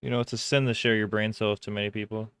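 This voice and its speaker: A man's voice: on the low side, flat delivery, very fast, fairly bright tone, clear, resonant tone, no echo, studio quality. A young man chatting casually with his live-stream chat while drawing, laughing often and reacting to comments.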